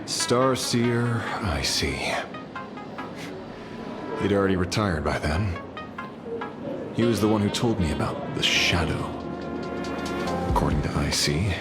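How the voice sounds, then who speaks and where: Game role: enigmatic ranger leader, very deep voice, measured and unhurried, music behind. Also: male voice.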